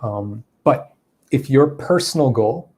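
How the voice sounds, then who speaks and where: A man explains English pronunciation to viewers, pausing with fillers as he thinks. His voice is mellow and sits at an ordinary pitch. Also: expressive, husky. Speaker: English teacher. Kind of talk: answering viewer questions in a lesson.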